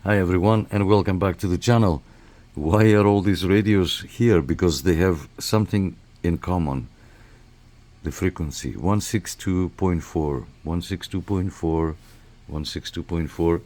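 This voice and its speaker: A man's voice: low-pitched, a little slow, darkish tone. A man hosting a radio hobby channel, chatting casually and rambling with lots of fillers. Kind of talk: casual show-and-tell.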